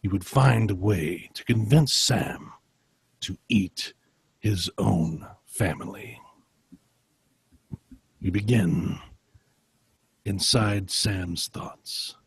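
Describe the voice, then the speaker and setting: A man co-hosting a podcast, moving between self-deprecating chat and deliberate, dramatic story reading. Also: fairly low pitch, slightly rough. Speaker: podcast co-host.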